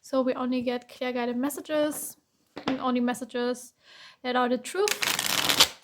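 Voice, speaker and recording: A woman from Germany giving a casual tarot reading, hoarse with a cracking voice, reassuring and repeating herself. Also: sits high, steady tone, slow pace, noisy background. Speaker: tarot reader.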